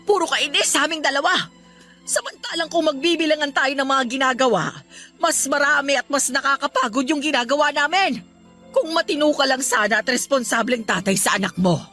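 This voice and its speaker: A woman's voice: high-pitched, sharp tone. An actress playing an aggrieved older mother in a Filipino radio drama, indignantly scolding and fed up. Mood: bitter, hurt.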